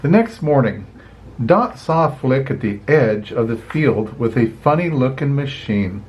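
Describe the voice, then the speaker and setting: An older man reads a picture book aloud like a grandparent, slowly and with plenty of rise and fall in his voice. He slips in chatty asides as he goes. The sound is a bit dull and lo-fi. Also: medium pitch, a little hiss or hum. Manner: expressive, unhurried, chatty asides. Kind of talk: children's storybook reading with asides.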